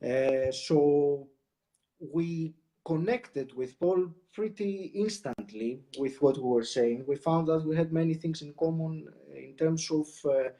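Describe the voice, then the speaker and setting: A man from Greece talks through a live video interview. His voice sits a little high for a man, with a mellow, soft tone. He speaks in measured bursts with frequent "uh" pauses. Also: low-quality recording. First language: Greek. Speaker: podcast co-host, guest. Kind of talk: casual interview chat.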